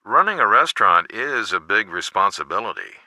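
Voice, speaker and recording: A caring American father in a mystery game. His voice is very bright and forward, with animated intonation. He speaks articulately, giving fatherly advice, and sounds close and dry. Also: fairly low pitch, fairly quick, no room sound, heavily acted. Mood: measured, concerned. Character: teenage detective's caring, measured lawyer father. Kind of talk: video game voice acting.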